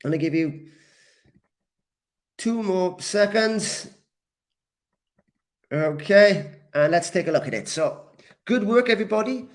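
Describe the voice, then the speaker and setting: A man from Wales teaching a live English class, deliberate and encouraging, repeating key words for learners as he responds to comments. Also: high-pitched, expressive. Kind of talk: live grammar lesson, reacting to comments.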